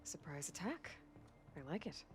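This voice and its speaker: A woman's voice: low voice, big pitch swings, normal speed. An actress playing a determined, wry young female machine hunter in a video game, with a casual, direct manner. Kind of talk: video game cutscene dialogue.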